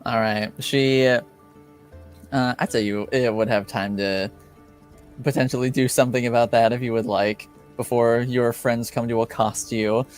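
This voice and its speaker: A man as game master, narrating a tabletop game in a measured way. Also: mid-range voice, steady tone, faint music behind.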